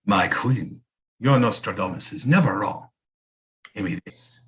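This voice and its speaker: An actor playing a flattering, theatrical male seer and physician to a queen in an audio play, speaking in a grand, courtly, dramatic manner. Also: lowish voice, measured pace. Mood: theatrical, mysterious.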